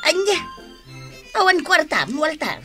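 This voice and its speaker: High-voiced, scolding Ilocano mother. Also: big pitch swings, over loud music, full character voice. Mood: exasperated, pleading. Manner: reproachful, nagging, drawn-out emphasis. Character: exasperated scolding mother of a spendthrift grown son. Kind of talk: Philippine radio drama acting.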